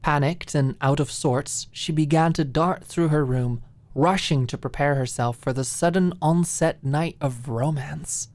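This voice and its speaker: Low, slow-paced female audiobook narrator. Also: darkish tone, dry recording. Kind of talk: expressive scripted story narration.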